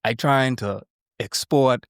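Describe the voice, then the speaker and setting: A man's voice: medium pitch, slow, a bit bright, clear, resonant tone, no room sound, clean studio sound. A young man with a thick Bahamian accent, a content creator, chatting on a podcast in a boastful, joking way full of slang. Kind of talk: casual podcast chat.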